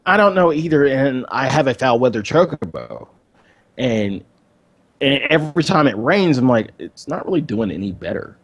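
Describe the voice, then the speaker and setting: Man, raspy voice, average pitch, casual podcast chat, hesitant repeated phrasing. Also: big pitch swings, moderate pace. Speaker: podcast co-host.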